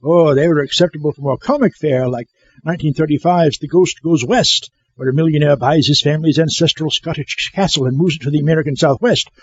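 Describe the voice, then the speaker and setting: A man's voice: a little high, a little fast, breathy edge, no room sound, highs cut off. A man playing a pompous, easily exasperated horror film scholar, lecturing in a comic scripted monologue.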